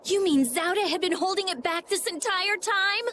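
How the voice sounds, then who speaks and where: Very high-voiced game heroine. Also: female voice, moderate pace, bright, forceful tone, a little hiss or hum. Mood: alarmed. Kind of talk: dramatic video game voice acting.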